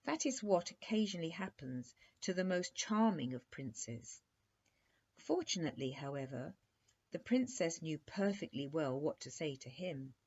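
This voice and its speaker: A woman with a dark, soft-edged, husky voice reads a bedtime tale for young children. She narrates expressively, as a storyteller does. The recording is somewhat muffled and lo-fi. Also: low-pitched, very animated, moderate pace. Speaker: storyteller reading aloud.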